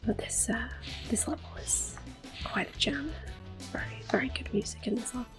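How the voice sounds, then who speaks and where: A woman giving gentle, unhurried ASMR game commentary in a soft, breathy voice that often drops to a whisper. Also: fairly high pitch. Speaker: gameplay host. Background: video game music.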